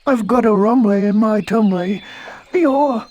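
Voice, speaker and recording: A man voicing a gentle, simple, ever-hungry teddy bear in a children's storybook, mild and musing. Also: high-pitched, measured pace, voice pushed up. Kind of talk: children's storybook read-aloud.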